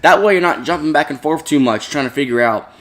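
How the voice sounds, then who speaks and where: Man chatting loosely with many fillers. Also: on the high side. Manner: loose, halting, lots of "like" and "um". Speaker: podcast co-host. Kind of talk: casual chat.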